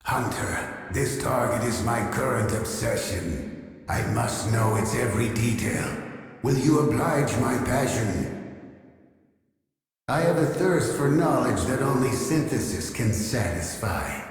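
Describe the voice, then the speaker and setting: An actor playing a pompous, obsessive machine intelligence archivist in a video game, speaking in a measured, solemn way with synthetic reverb on the voice. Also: male voice, mid-range voice, expressive. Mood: intrigued, commanding. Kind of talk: scripted video game character dialogue. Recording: long echoing reverb.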